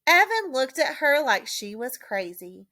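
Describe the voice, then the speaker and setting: A woman reading a children's storybook expressively, with dramatic, deliberate emphasis. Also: high voice, very animated, sharp tone, no room sound, studio quality. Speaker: audiobook reader, teacher.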